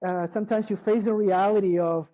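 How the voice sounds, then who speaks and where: A middle-aged woman from Chile, giving a live conference talk in a halting way, restarting often and checking in with the audience. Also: medium pitch, fairly even intonation, dark tone, highs cut off. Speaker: conference speaker. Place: conference hall.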